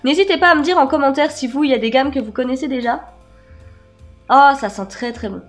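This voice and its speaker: High-pitched woman, slightly hoarse. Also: fairly quick, faint music behind. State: slightly hoarse and congested from a cold. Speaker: beauty vlogger, unboxing host. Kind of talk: casual product unboxing chat.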